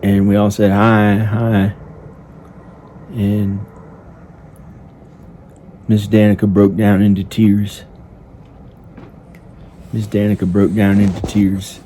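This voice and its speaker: Low, dark-voiced man storytelling in monotone. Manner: hesitant, halting, childlike simple phrasing with repetition. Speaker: amateur storyteller narrating own improvised story. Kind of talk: improvised first-person storytelling. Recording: noticeable background noise. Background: ambient sound effects.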